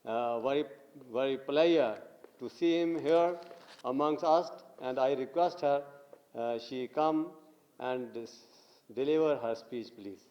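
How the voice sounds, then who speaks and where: Male host, halting slow intro, lo-fi sound. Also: medium pitch. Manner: halting, with fillers and restarts. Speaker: event host. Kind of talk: formal introduction.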